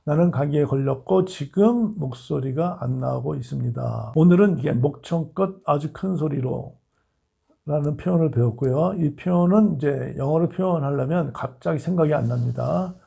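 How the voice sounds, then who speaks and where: A man teaching English phrases, explaining in Korean and reading each English example sentence slowly and deliberately. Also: fairly high pitch, vocal fry, warm tone, slightly rough.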